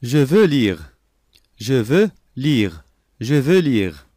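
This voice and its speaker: A man reading short phrases slowly and clearly for learners, repeating each sentence three times. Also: mid-range voice, big pitch swings, warm tone, no room sound, studio quality. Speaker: language lesson voice.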